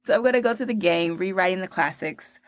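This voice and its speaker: A woman hosting a podcast interview, asking questions in an upbeat, brisk way. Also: on the low side, dry recording, clean studio sound. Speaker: podcast host.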